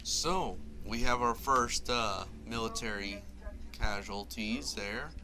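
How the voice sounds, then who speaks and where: A man's voice: lowish voice, slow. A man giving casual, wry gameplay commentary. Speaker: gaming video host. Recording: game music behind. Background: video game music and sounds.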